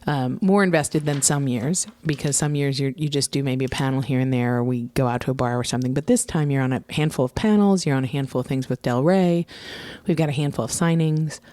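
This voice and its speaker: A woman hosting a podcast in a casual, chatty, excited and informal way. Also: extremely low pitch, a little fast, hardly any pauses, no room sound. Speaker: podcast host.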